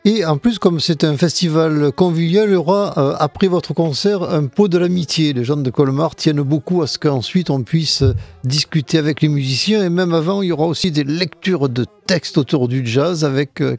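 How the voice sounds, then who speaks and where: A man's voice: fairly high pitch, gravelly, a little mellow. A man hosting a radio interview, announcing festival dates and introducing his guests in a friendly way. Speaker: radio host.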